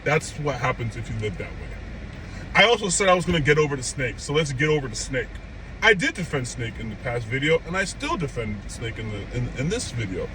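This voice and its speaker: A young man hosting an anime reaction channel, delivering an animated, emphatic rant straight to his viewers. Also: medium pitch, brisk, poor audio. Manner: animated, emphatic, incredulous outbursts; conversational, addresses viewers directly. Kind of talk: opinionated rant. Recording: steady traffic noise behind.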